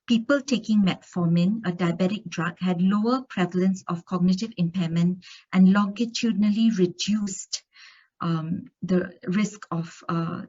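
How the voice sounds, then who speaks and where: Woman from Singapore, conference lecturer, lowish voice, measured reading of research results, dull lo-fi room sound. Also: a little mellow. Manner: reads steadily through research findings, with occasional fillers and restarts.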